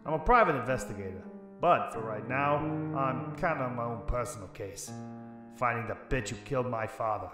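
An actor playing a hard-boiled, world-weary 1940s private investigator in a noir radio drama, with tough, wry delivery. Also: male voice, mid-range voice, brisk, reverberant, over loud music, close to an everyday voice. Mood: wry, brooding.

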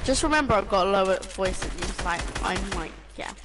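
A woman's voice: mid-range voice, animated, fairly quick, very noisy. A young woman gaming with friends, giggling and joking playfully. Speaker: gamer. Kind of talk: casual gaming chat.

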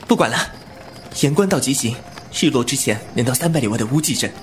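一名男演员在武侠广播剧中饰演一位莽撞顽皮、言辞犀利的年轻侠客。. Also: male voice, medium pitch, lively intonation, fast talker, a little hiss or hum, close to an everyday voice. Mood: agitated. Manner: impulsive, sharp-tongued, irreverent. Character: brash, cheeky young male martial-arts hero. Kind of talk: Chinese wuxia audio drama acting.

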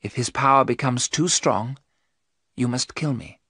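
A man's voice: mid-range voice, unhurried, sharp tone, breathy edge, no room sound, clean studio sound. A man doing Mina, a brave young woman. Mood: resolute. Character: young woman under a vampire's spell, brave.

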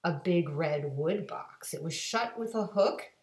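A woman in her forties reading a children's story aloud in an expressive, emphatic way, recorded at home. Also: lowish voice, a little slow. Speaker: guest storybook reader. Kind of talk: animated children's read-aloud. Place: kitchen-dining room at home. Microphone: webcam or laptop at arm's length. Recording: roomy home webcam recording.

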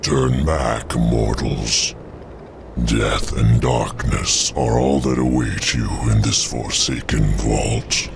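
Very deep, gravelly undead villain. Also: male voice, steady tone, noisy background, exaggerated voice. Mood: grim warning. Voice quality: gravelly, cavernous. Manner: slow, grandiose, menacing pronouncements. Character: demonic undead servant of a dark lord, menacing, gloating. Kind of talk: fantasy video game cutscene acting. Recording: game music behind the voice.

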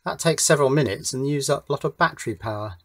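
A man's voice: medium pitch, fast talker, husky. A man chatting casually as he explains his circuit board build at a home workbench, with wry asides along the way. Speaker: electronics hobbyist presenter.

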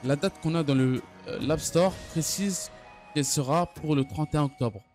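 A man giving an unscripted gaming news recap, speaking haltingly and often stumbling or repeating his phrases. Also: fairly high pitch, darkish tone. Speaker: gaming video creator. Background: background music.

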